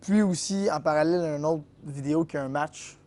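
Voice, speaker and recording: A man's voice: fairly high pitch, brisk, a little mellow. A young man with a Québécois French accent answering interview questions in an easygoing, hesitant way with many fillers. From Quebec. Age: young adult. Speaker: guest, college tennis player.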